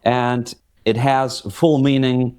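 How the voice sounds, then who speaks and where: A man in an easygoing chat about languages, thinking out loud as he talks. He pauses often and fills the gaps with small hesitations, at an ordinary middle pitch. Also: flat delivery, smooth. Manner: thinking aloud, hesitant with fillers. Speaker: host.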